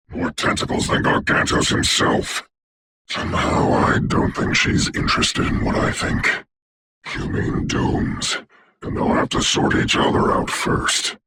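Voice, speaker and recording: A man playing a grave, commanding dark sorcerer for a video game, with a breathy rasp, formal grandiose diction and eerie distorted processing. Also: takes it slowly, noisy background. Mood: wry, measured. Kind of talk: video game hero voice lines.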